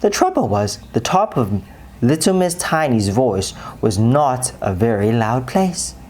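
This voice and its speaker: A woman narrating a children's bedtime story expressively, with dramatic pauses and deliberate emphasis. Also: rumbling low, very animated, darkish tone, a little hiss or hum.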